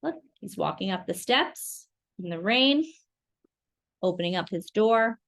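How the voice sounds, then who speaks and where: A woman reading a picture book aloud to young children in an expressive, exclamatory way, with chatty asides and questions. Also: medium pitch, unhurried. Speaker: children's storytime reader.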